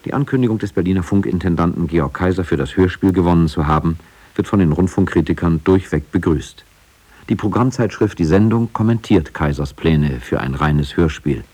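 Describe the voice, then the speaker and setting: A man reading scripted radio feature narration in a measured, factual way. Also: deep voice, dark tone, husky. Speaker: documentary narrator.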